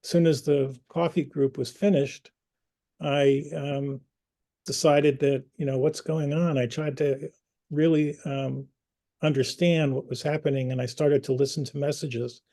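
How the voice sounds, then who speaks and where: Earnest man with a gravelly voice. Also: mid-range voice, level tone, mellow. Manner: earnest, personal, pausing with fillers and restarts. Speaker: community group host. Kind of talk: speaking to an online video meeting.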